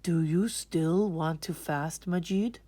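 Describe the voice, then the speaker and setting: A woman voicing a gentle grandfatherly elder in a storybook, speaking slowly and deliberately. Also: on the low side, no room sound. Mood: kindly. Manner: slow, deliberate.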